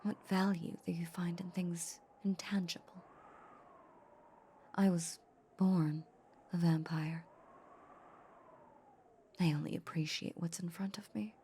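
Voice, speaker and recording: A woman playing a seductive, possessive female vampire in a breathy, whispered ASMR audio roleplay, lingering and teasing on her words. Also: on the low side, little pitch movement, slow pace, pauses often, dark tone, slight background noise. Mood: seductive, hungry. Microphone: close microphone.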